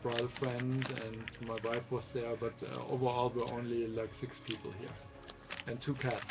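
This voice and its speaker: A man's voice: mid-range voice, level tone, normal speed. A man hosting a casual livestream chat, friendly and hesitant, with lots of fillers. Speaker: host of a celebration livestream. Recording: dull lo-fi stream audio with faint music.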